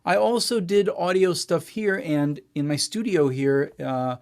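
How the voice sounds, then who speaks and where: A man from Israel with an American accent, a guest musician who talks casually and modestly, starting and restarting his sentences. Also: high-pitched. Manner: relaxed, self-deprecating, halting with many "uh"s. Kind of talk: casual interview talk.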